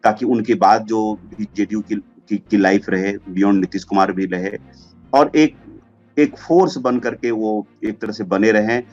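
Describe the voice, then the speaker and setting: A man's voice: fairly low pitch, a little slow, darkish tone. A man giving informal political analysis as a commentator, mixing English terms into his speech. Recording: dull lo-fi audio with music behind. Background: background music.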